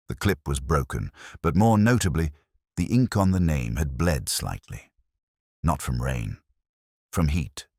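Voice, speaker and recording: A man reading a scripted mystery story as narrator, in a measured, literary way with dry understatement. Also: very deep voice, breathy edge, no echo. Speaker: audiobook narrator.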